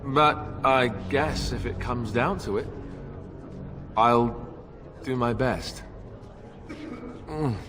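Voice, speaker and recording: An actor playing a reluctant young warrior newly made king in a video game, halting, earnest and self-deprecating. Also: mid-range voice, fairly bright tone, soft background music. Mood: uneasy, hesitant. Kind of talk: video game cutscene acting.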